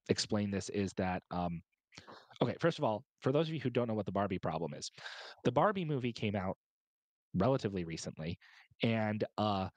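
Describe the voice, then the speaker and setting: A man's voice: lowish voice, a little mellow, no echo. A man speaking as a podcast panelist, explaining his points at length in a wordy, emphatic, step-by-step way.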